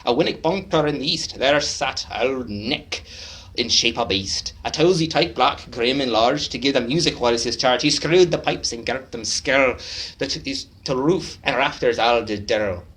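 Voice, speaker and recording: A man giving a dramatic poetry recitation at a speaking club in a put-on Scottish brogue, swinging from loud declaiming to hushed low lines. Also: moderate pace. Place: club meeting room.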